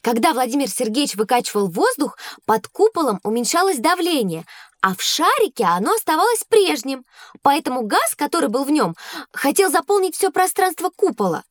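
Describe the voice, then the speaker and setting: A woman's voice: sits high, big pitch swings, brisk, dry recording, clean studio sound. A woman playing a curious, clever schoolgirl in an educational audio drama, eager and articulate. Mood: eager, reasoning. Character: bright, curious schoolgirl pupil, quick to understand. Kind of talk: scripted audio drama dialogue.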